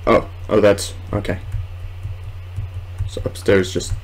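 Low-voiced young man, slow gaming chatter. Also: level tone, soft background music. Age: 20s. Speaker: gamer, video host. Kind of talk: casual let's play commentary. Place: small room at home, indoors. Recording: clearly noisy home recording.

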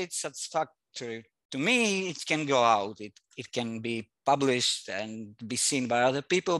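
A man in his forties whose first language is Greek, talking over a headset on a video call as an interview guest, halting often and searching for words. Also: fairly high pitch, a bit bright, dry recording. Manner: halting, searching for words with many fillers and restarts. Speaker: guest artist. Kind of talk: casual interview conversation. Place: room at home.

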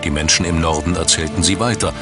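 Deep male doc narrator, measured n dramatic, music behind him. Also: steady tone. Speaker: documentary narrator. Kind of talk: scripted documentary narration. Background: background music.

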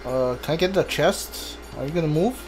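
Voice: mid-range voice, moderate pace. A video game streamer giving casual playthrough commentary, muttering to themselves while thinking aloud. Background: game music.

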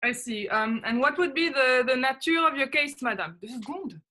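A woman's voice: high-pitched, animated, moderate pace. A young woman with a French accent playing a distracted, officious hospital receptionist in a drama, polite but dismissive and hesitant. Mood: brisk, distracted. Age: young adult. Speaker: actress. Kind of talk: acted drama over video call. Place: indoors, plain room. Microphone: webcam video call.

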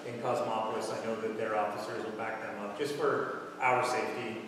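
A fire department officer answering questions at a public meeting, explaining plainly, recorded from a distance in a large fire station bay. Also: male voice, on the low side, level tone, a little fast, fairly bright tone. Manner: plain explanatory, talks through details. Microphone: far camera. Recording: echoey hall, distant mic, background noise.

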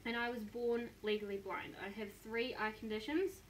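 A woman's voice: on the high side, fairly even intonation, moderate pace, slight background noise, low-quality recording. Chatty young New Zealand woman vlogging. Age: 20s. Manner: chatty and self-mocking, with bright upbeat sign-offs. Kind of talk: casual vlog to camera. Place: small bedroom, indoors. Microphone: phone camera close to the face.

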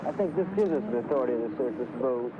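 A man in a 1960s film, delivering dialogue and voice-over narration in a smooth, wry, self-assured way. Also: medium pitch, lively intonation, rapid-fire, muffled recording. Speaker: actor in an old film. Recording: old film soundtrack with clear hiss.

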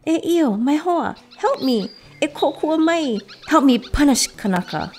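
A woman's voice: a little high, animated, normal speed, gravelly, pitched above the performer's own voice. A woman voicing a small, naughty male bird, whiny and indignant, pleading in exclamations for a storybook tale. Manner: pleading, exclamatory, plays down own misdeeds.

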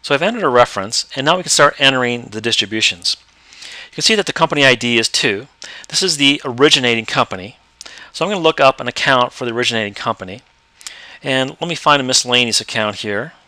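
A man explaining step by step how to use accounting software in a screen-recorded tutorial. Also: mid-range voice, lively intonation, quick pace, no room sound. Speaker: software trainer.

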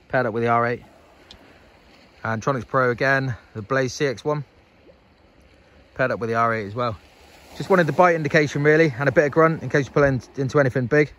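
A man in his thirties talks through his night on the beach in an easygoing vlog voice, with plenty of ums and uhs. His pitch sits in a middle range. He sounds like a regular host chatting to his viewers. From England. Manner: relaxed, chatty, lots of fillers. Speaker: angling vlogger. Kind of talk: casual fishing vlog commentary. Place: night beach outdoors, then indoor room at home.

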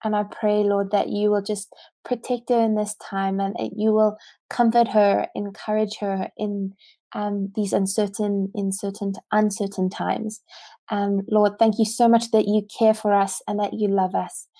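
Twenties woman leading prayer on a video call, flat and mellow, dry sound. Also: mid-range voice, unhurried, no echo. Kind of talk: spoken prayer. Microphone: headset earphones on video call.

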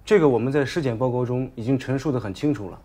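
An actor in his thirties playing a composed public prosecutor in a film, speaking in a measured, formal, articulate manner. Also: mid-range voice, mellow, natural voice. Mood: firm, explanatory. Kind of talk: formal courtroom reading and argument in a film.

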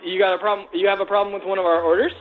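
Over a thin phone line with faint shop noise, a young American man handles a customer. His voice is on the high side, and he is polite and somewhat hesitant, like an employee at the counter. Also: little pitch movement, racing. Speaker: frozen yogurt shop employee. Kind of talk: customer service phone talk. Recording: tinny noisy phone line.

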